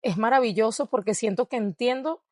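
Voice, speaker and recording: A woman's voice: on the high side, brisk, no room sound, studio quality. A Venezuelan woman with a Venezuelan Spanish accent, answering interview questions in a reflective way and laughing often. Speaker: guest, dancer and researcher. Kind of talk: interview conversation.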